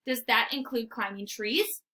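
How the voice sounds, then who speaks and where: A woman's voice: fairly high pitch, a little slow, sharp tone. A woman voicing a large, skeptical female dog in a storybook, haughty and sarcastic, growly when offended.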